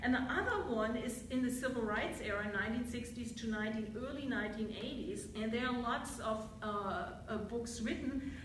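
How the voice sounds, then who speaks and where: A woman's voice: on the high side, normal speed, a little hiss or hum. German-accented woman, echoey and distant. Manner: earnest, lecturing, thinks aloud with self-corrections. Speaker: academic panelist, biblical scholar. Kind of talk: academic roundtable talk. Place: university seminar room. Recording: very echoey room from far off.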